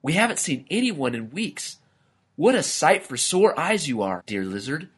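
A man's voice: fairly high pitch, sing-song, normal speed, a bit bright, husky, pitched above the performer's own voice, full character voice. A man voicing a cheerful, polite male camel with a friendly, courteous manner in a storybook. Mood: kindly, reassuring.

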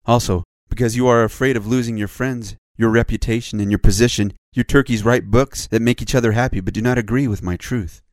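A man's voice: mid-range voice, steady tone, a little fast, hardly any pauses, dry recording, lightly acted. A man playing a stern but loving divine lord in a children's story, solemn and admonishing.

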